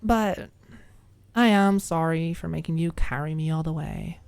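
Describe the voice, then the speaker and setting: A woman's voice: sits low, a little slow, creaky, darkish tone, smooth. A woman voicing a flustered, haughty and dramatic female college student in an audiobook, with prim, indignant phrasing. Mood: grudgingly apologetic.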